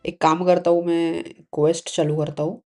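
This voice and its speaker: A man's voice: a little high, flat delivery, rapid-fire, darkish tone. A man from India with an Indian accent, chatting informally as he streams a game. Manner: informal, mixes Hindi and English. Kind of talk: casual live-stream chat. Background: faint game music.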